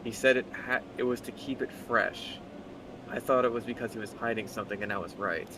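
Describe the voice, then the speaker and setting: A man's voice: medium pitch, steady tone, a little fast, background noise. A man giving casual commentary as a co-host, blunt and sardonic, swearing freely. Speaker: co-host reading a story aloud.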